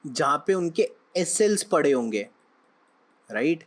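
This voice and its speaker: A young man teaching a trading lesson, his voice sitting a little high for a man. He sounds slightly hoarse. He talks in a loose, conversational way and keeps checking that his listeners follow. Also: sing-song, unhurried. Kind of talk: explaining lesson with analogies.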